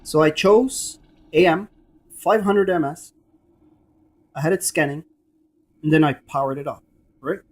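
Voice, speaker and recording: A man's voice: fairly high pitch, measured pace, pauses often. A man giving a casual, emphatic and repetitive hands-on gadget demonstration. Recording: noisy room with device static. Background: radio scanning static.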